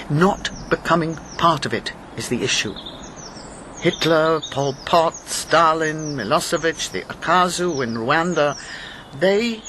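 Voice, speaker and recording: An actress playing a grave, steely older former wartime agent in a radio drama, speaking in a measured, probing way. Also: female voice, low voice. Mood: stern. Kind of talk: naturalistic radio drama acting. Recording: birdsong and ambient background noise.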